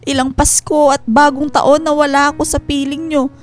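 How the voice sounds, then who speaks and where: A woman's voice: high-pitched, level tone, normal speed, close to an everyday voice. An actress playing a hurt, weary wife and mother in an emotional, earnest Filipino radio drama. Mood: hurt, reproachful. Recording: music bed under the voice.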